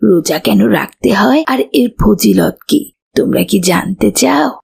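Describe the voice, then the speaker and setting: Woman as a husky, creaky old village teacher. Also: mid-range voice, lively intonation, a little slow, darkish tone, full character voice. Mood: gentle, instructive. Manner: patient, instructive. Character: kindly old village woman teaching children about faith. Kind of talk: children's moral cartoon voice acting.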